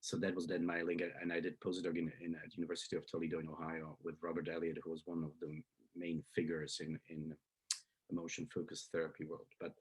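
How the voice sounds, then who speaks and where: A man's voice: extremely low pitch, monotone, quick pace, breathy edge, poor audio. A man in his fifties from Slovakia with a Slovak accent, answering interview questions hesitantly with many fillers and restarts. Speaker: psychology professor, guest.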